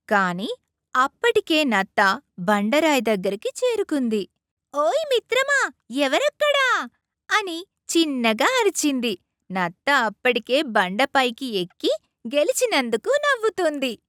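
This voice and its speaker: Female storyteller for kids' moral tales: expressive, unhurried, close dry sound. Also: high voice, no room sound. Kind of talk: scripted children's moral-story narration.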